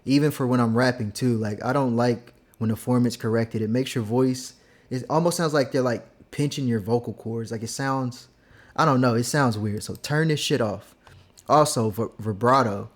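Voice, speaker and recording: A young man casually explaining how he mixes his vocals, rambling and filling pauses with "like" and "um". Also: medium pitch. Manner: laid-back, rambling, heavy use of "like" and "um". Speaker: music producer teaching vocal mixing. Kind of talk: casual screen-recorded tutorial.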